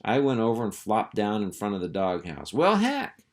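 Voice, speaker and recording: A man reading a children's storybook aloud as an expressive narrator. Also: lowish voice, brisk. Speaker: storybook reader.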